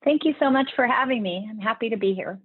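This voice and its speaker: A woman's voice: a little high, fast talker, slightly rough, dry recording. A woman guest talks earnestly through her points, explaining step by step and restarting phrases as she thinks. Her voice comes over a thin, phone-like remote line with no room sound at all. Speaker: podcast guest, leadership speaker. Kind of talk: interview answers.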